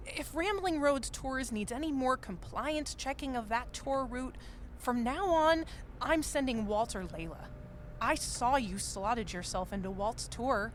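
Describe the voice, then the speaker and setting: An actress playing a stern, by-the-book office boss, firm and authoritative in a scripted drama. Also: female voice, a little high, a little hiss or hum. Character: stern, protocol-minded woman boss of an office. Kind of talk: scripted audio drama dialogue.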